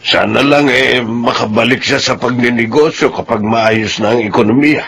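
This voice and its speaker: A man's voice: medium pitch, moderate pace, creaky, fairly bright tone, slight background noise. An actor playing a mild, musing older father-in-law in a radio drama. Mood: musing, delighted.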